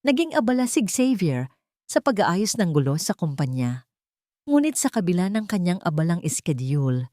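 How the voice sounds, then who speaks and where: A woman reads a dramatic love story in a fairly low voice. Her phrasing is deliberate and sentimental, and the sound is dry and close. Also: mellow, no room sound. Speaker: story narrator. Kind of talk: scripted dramatic narration of a romance story.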